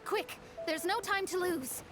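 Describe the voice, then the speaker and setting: A woman's voice: very high-pitched, a little slow. A woman playing a proud, self-assured young astrologer in a video game, confident and a little haughty. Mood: urgent. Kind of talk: video game cutscene acting. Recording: music behind the voice.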